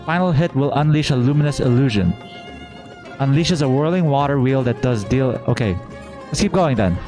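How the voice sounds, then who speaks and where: A man doing a casual live gameplay reaction as a streamer, informally thinking out loud. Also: mid-range voice, lively intonation, normal speed. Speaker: game streamer. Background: faint game music.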